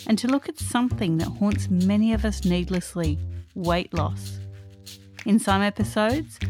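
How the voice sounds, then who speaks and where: A woman's voice: medium pitch, a little mellow. Upbeat woman hosting a podcast. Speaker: podcast host. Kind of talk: scripted podcast intro and interview. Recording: dry with faint music behind.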